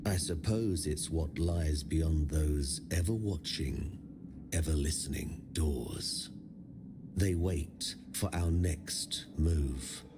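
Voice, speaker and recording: Very deep, brooding, haunted prisoner. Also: male voice, noisy background, full character voice. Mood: solemn, brooding. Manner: slow, brooding, theatrical, archaic phrasing. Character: weary, brooding male prisoner, haunted lone survivor of slaughter. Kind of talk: dark fantasy video game acting.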